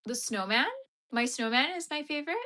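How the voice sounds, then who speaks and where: Bright-voiced Canadian woman chatting while crocheting. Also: on the high side, lively intonation, a little fast. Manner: reads and answers chat comments while crocheting. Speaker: crochet livestreamer. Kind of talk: casual livestream chat.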